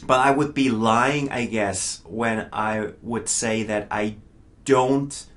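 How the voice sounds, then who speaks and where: A man's voice: lowish voice, slow, a bit bright. A middle-aged man whose first language is not English, giving a casual, enthusiastic spoken album review and often stumbling over repeated words.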